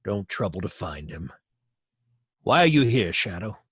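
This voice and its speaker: An actor playing a bossy, irritable middle-aged police commissioner in an old-time radio crime drama, brusque and commanding. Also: male voice, lowish voice, very animated, measured pace, a bit bright, slightly rough, no echo, clean studio sound, heavily acted. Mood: irritated.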